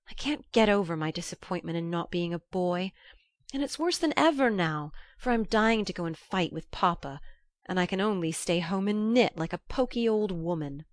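A woman's voice: fairly low pitch, dry recording, clean studio sound, natural voice. A woman voicing a boyish, hot-tempered teenage girl in an audiobook story, brusque and emphatic. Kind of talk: audiobook character acting.

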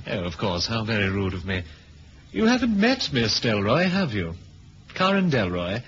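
Headmasterly British intelligence chief, animated and fussy, radio drama briefing, slightly hissy recording. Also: male voice, on the low side. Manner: clipped, officious, headmasterly. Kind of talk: 1970s radio drama acting. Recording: dull lo-fi old radio recording, hiss.

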